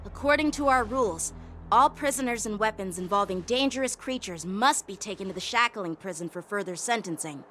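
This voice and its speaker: A woman voicing a brisk, authoritative young woman official in a game, speaking firmly. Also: mid-range voice, expressive, bright, forceful tone, slight background noise. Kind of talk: game voice acting. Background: game music.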